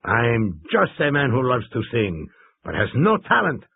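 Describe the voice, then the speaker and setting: A man's voice: medium pitch, wildly swooping pitch, measured pace, vocal fry, husky, full character voice. A man voicing a theatrical, boastful male road worker with a Mexican accent who loves singing opera. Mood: fervent. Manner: theatrical, fervent. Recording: tinny phone-like radio sound.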